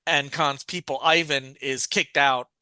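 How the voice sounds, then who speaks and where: A man's voice: medium pitch, steady tone, measured pace, bright, forceful tone, clean tone, dry recording. A man co-hosting a podcast, recapping a story in a rambling, casual way with many restarts and fillers. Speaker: podcast co-host.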